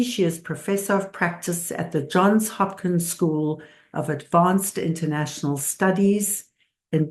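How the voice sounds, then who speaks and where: A woman originally from South Africa hosts an interview podcast, speaking in a fairly low voice. She reads her guest's introduction with emphatic, effusive warmth, then asks loose questions full of "you know.". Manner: emphatic, effusive delivery with many fillers. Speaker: podcast host.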